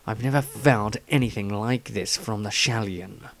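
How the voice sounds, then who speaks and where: A man voicing a brooding, uneasy man with secrets, reading his diary gravely and haltingly. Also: lowish voice, pitched below the performer's own voice.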